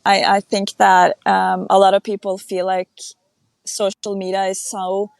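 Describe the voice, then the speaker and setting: A woman's voice: medium pitch, completely flat delivery, a little slow, creaky, no room sound. Thoughtful young woman from Norway. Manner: thoughtful, hesitant, with many restarts and ums. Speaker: guest. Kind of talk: casual interview chat.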